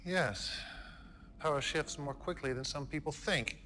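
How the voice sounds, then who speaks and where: A man playing a menacing, commanding leader of a military cult, speaking with measured, self-assured authority in a video game cutscene. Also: fairly high pitch, pushed and bright. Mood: cool menace. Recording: long hall reverb.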